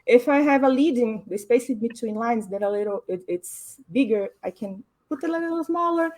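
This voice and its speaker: Woman, slightly high voice, thoughtful designer on a panel, searches for words. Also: expressive, moderate pace, a little mellow. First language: Portuguese. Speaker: graphic designer. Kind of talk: panel discussion, explaining her process. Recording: online panel stream.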